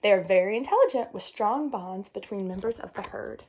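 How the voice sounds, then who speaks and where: A woman's voice: mid-range voice, expressive, brisk, darkish tone, a little hiss or hum. A woman reading a children's nature book aloud, with casual teacherly asides to young listeners. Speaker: read-aloud presenter.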